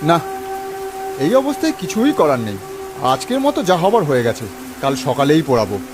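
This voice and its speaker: A man's voice: a little high, sing-song, normal speed, music in the background. An actor playing a reluctant young village man in a cartoon, reasoning and protesting in a pragmatic way. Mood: firm. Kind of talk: cartoon ghost-story dialogue.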